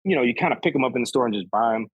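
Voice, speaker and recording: A man in his thirties, a podcast guest, chatting in a relaxed, rambling way, often tacking "man" onto his sentences. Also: mid-range voice, rapid-fire, husky, no echo, muffled recording. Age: 30s. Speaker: podcast guest, golf sneaker reviewer. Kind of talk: casual conversation.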